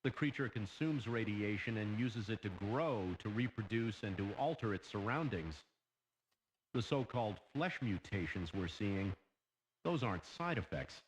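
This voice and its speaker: A man playing a grim scientist giving a measured, explanatory briefing to a president in a horror animation. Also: fairly low pitch, expressive, a little hiss or hum, lightly acted, rough recording. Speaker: scientific adviser.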